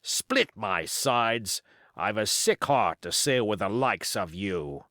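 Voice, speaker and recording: A man's voice: on the low side, animated, slow pace, pushed and bright, no room sound, studio quality, exaggerated voice. A man voicing a sly, boastful, commanding pirate cook in an audiobook, with emphatic rustic seafaring talk. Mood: scornful, exasperated. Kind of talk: audiobook character voice.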